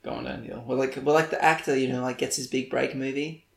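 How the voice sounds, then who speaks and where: A young man co-hosting a chatty podcast, his voice gravelly with a bit of fry. He rambles, laughs and makes fun of himself, and the room around him rings with echo. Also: mid-range voice, steady tone, a little fast. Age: young adult. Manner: rambling, laughing, self-mocking. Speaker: podcast co-host. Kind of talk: casual chat. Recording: echoey room.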